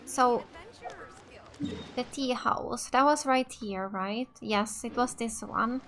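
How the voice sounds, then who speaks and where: High-pitched, slow-talking Finnish woman gaming. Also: a bit bright, a little hiss or hum. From Finland. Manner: thinking aloud, tentative. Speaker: gaming streamer. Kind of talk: casual let's play commentary.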